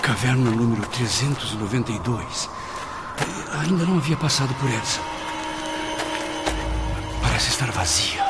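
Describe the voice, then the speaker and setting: Man playing a gravelly, haunted dead soul. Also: mid-range voice, animated, normal speed, long pauses, close to an everyday voice. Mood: wary. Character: anguished male suicide spirit recounting his torment in the netherworld. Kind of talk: dramatic first-person narration in a radio drama. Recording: loud dramatic music under the voice.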